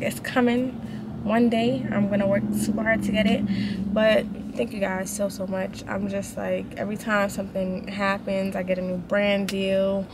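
Young woman vlogger, casual and slangy, close camera mic, road noise behind her. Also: medium pitch. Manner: chatty, slangy, encouraging. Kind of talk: casual vlog talk to camera. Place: car, then empty apartment. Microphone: handheld camera close up. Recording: noisy car then echoey room.

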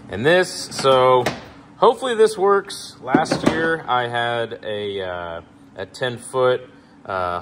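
A young man casually walking through his work trailer, talking in a relaxed, rambling way with lots of ums. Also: fairly low pitch, heavy background noise. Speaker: pressure washing business owner. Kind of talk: casual walkthrough tour. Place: indoor metal trailer, shop garage. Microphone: handheld phone camera.

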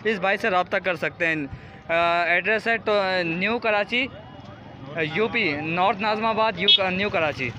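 A man's voice: sits high, a little slow, very noisy. A man with a Karachi Urdu accent, filming a walkaround of cars at an outdoor car bazaar on a handheld phone, repeating prices and details back as he asks sellers questions. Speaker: vlogger touring a car market. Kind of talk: casual walkaround car review.

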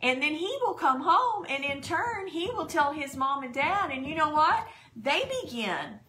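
Slow, bright-voiced woman pastor praying. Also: sits high, expressive. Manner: fervent, prayerful, citing scripture verses. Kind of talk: spoken prayer and testimony on a church broadcast. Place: home dining room. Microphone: desk podcast microphone.

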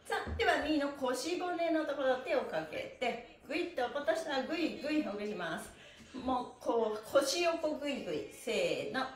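Female exercise host, middle-aged, high voice, encouraging sing-out cues; very reverberant room sound. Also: animated. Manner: cheerful, encouraging, rhythmic drawn-out chanting. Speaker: stretching instructor and host. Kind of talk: exercise class, counting chants and cues. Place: small bare-walled indoor studio. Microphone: camera a few metres away. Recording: echoey room, distant microphone.

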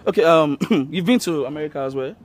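A young man with a Nigerian accent runs a comic street interview, teasing and playful. His pitch jumps around a lot as he questions, and he speaks into a handheld mic on an outdoor terrace. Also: animated, quick pace.

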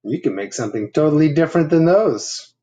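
Animated man reading to children. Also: on the high side, brisk. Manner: simple, instructive, conversational. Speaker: storyteller reading a picture book to children. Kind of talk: read-aloud with explanatory asides. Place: small room at home.

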